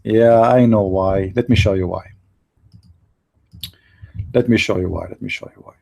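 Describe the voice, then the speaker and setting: A man's voice: lowish voice, fairly even intonation, moderate pace, vocal fry, warm tone. Sarcastic man. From Middle East. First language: Arabic. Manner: sarcastic, joking, talks to the chat. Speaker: live-stream host. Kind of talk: mocking live-stream commentary.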